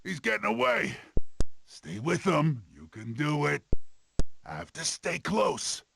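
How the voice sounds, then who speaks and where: A gruff, determined tiger from a cartoon video game. He mutters self-urging lines in a gravelly voice with faint noise behind. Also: a little high, big pitch swings, takes it slowly, exaggerated voice. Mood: determined, uneasy. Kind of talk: short video game voice clips.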